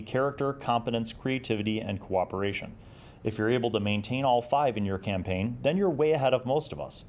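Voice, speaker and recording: A man in his forties giving casual, reflective commentary as a host, moving between reading aloud and conversational talk. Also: lowish voice. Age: 40s. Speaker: host of a retro gaming review series.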